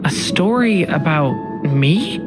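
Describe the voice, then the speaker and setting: A woman voicing a gentle, thoughtful dragonborn youth in a video game, earnest and a little hesitant. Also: low-pitched, lively intonation, slow. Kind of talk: video game character acting. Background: loud game music.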